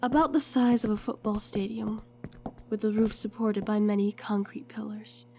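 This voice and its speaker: A woman's voice: mid-range voice, fairly even intonation, moderate pace, vocal fry, warm tone, slight background noise, close to an everyday voice. A woman playing a curious young recruit who narrates her own story in an audio drama. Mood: reflective. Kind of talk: first-person scripted narration, audio drama.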